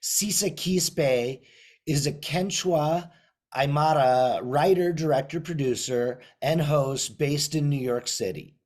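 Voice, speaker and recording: A man's voice: on the high side, slow, a little mellow. A man hosting a film radio show, reading a formal guest introduction and asking questions. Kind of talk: scripted introduction then interview questions.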